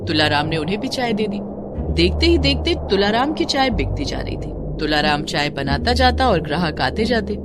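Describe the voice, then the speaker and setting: A woman's voice: mid-range voice, very animated, normal speed, over loud music. A woman narrating a scripted children's story.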